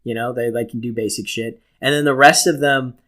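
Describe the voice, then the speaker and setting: A man's voice: medium pitch, steady tone, brisk, fairly bright tone. Male podcast co-host, mid-range voice, loose and slangy banter, crude and teasing. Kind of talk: casual joking chat.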